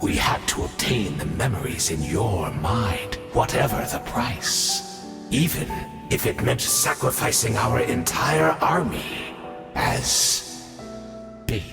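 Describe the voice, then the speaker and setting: A man's voice: on the low side, slow pace, vocal fry. An actor voicing a scheming, smug male villain in a video game, speaking with theatrical, polished menace. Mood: triumphant. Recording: echoey reverb with game music.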